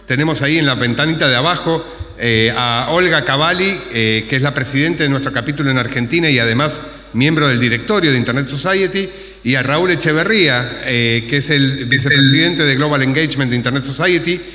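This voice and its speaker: A man's voice: mid-range voice, brisk, bright, forceful tone. A middle-aged man, moderating and welcoming people from a conference podium. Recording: echoey, reverberant hall.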